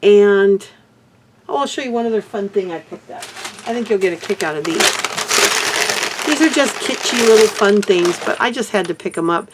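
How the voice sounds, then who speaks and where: An older woman from Texas, hoarse, chatting casually to camera in a rambling way about her crafts and life. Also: medium pitch, animated, moderate pace, background noise. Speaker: beadwork vlogger.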